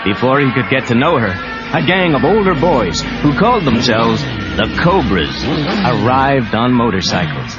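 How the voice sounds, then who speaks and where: A man's voice: medium pitch, very animated, moderate pace. A man reading a scripted children's read-along story as narrator. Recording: dull lo-fi cassette tape. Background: prominent music.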